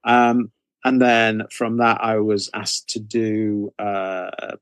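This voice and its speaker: A man's voice: fairly low pitch, level tone, slow pace, smooth, no echo, clean studio sound. A middle-aged man giving conversational interview answers as a guest author, rambling a little as he repeats and rephrases.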